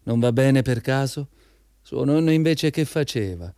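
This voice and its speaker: A man's voice: mid-range voice, expressive, unhurried, darkish tone, clean tone, dry recording, clean studio sound. An actor in a radio drama playing a proud, jobless father who pleads and argues defiantly, repeating his phrases insistently. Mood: proud, bitter. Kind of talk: theatrical radio drama dialogue.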